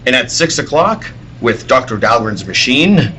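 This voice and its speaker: A man playing an earnest reporter with a secret heroic side, speaking with deliberate urgency in old-time radio serial style. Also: mid-range voice, big pitch swings, sharp tone, slight background noise. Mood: urgent, deducing.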